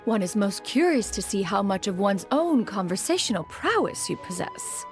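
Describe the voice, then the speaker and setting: A woman playing a haughty, animated ancient immortal master. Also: mid-range voice, normal speed, soft background music, natural voice. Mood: flustered, dignified. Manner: formal, archaic, speaks of self as "one". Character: ancient female adeptus master, proud, haughty, secretly fond. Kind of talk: scripted video game dialogue.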